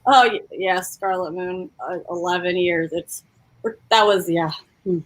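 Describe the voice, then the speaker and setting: A woman's voice: fairly low pitch, steady tone, unhurried, low-quality recording. A woman in a casual group chat about books, explaining her opinions in a chatty way. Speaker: book discussion participant.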